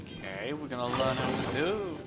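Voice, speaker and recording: A woman's voice: very deep voice, big pitch swings, moderate pace, heavy background noise. A woman gamer casually reading out dialogue choices and reacting during a story quest. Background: game music.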